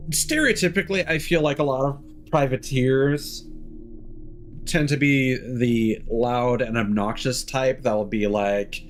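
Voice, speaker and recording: Slow-talking man streaming over game music. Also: medium pitch. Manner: musing, halting, trailing off mid-thought. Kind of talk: casual livestream game commentary.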